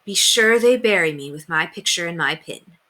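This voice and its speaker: A woman acts out an affectionate storybook housekeeper. Her voice is bright and lively, with an assured, teasing firmness. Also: on the low side, normal speed. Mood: firm. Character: affectionate, playfully firm English housekeeper woman. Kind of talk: children's storybook read-aloud.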